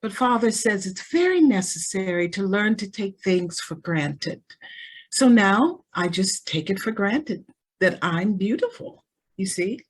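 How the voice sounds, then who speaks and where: A woman's voice: medium pitch, very animated, a little slow, slightly rough. An actress playing a naive, self-assured and sheltered teenage rich girl in an old-time radio drama, speaking with prim artlessness.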